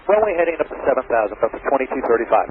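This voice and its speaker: A man's voice: mid-range voice, sing-song, very fast. A man working as an airline pilot, giving short readbacks over aviation radio. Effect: radio transmission. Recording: noisy, tinny aviation radio.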